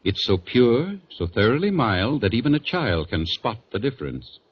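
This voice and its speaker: A man's voice: lowish voice, very animated, normal speed, slight background noise. A man playing an earnest, reassuring family druggist, polite and folksy, in a scripted 1940s radio commercial. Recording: dull 1940s radio broadcast recording.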